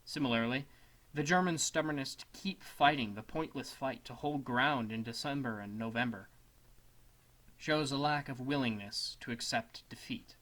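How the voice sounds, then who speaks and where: A man narrating a scripted essay as a dramatic reading, in a solemn, measured manner. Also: medium pitch, fairly bright tone, rough recording. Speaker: student narrator.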